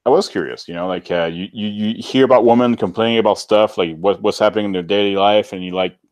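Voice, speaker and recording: Male guest from Canada, deep voice, dry close sound, loose conversational rambling. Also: quick pace, dry recording. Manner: rambling, informal, filler-heavy with many "like"s. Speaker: interview guest. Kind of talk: casual chat, personal storytelling.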